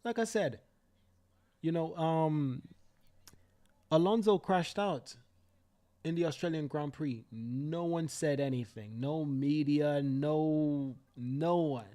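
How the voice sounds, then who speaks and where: A man's voice: fairly high pitch, slow, dark tone. A young Jamaican man hosting a live stream, ranting fiercely with profanity and repeating himself for emphasis. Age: young adult. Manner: fiery, profane ranting with repetitions. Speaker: livestream host. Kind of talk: heated live commentary.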